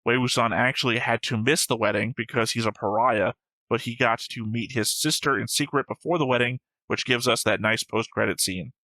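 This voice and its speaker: A man's voice: mid-range voice, brisk, sharp tone, dry recording, rough recording. A man hosting a reaction show in casual banter, reading out comments and arguing his points with wisecracks.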